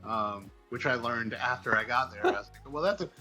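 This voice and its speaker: A man's voice: medium pitch, brisk, music in the background, low-quality recording. A man chatting casually as an interview guest, telling things in a rambling, wry, informal way. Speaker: guest comics writer.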